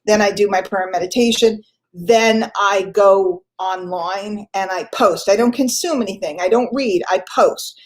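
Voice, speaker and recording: A woman's voice: mid-range voice, measured pace. Woman host, slightly congested with a cold, earnest motivational talk, repeats her points, casual asides. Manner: earnest, repeats key lines for emphasis; casual, conversational, with fillers and self-corrections. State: stuffy from a cold.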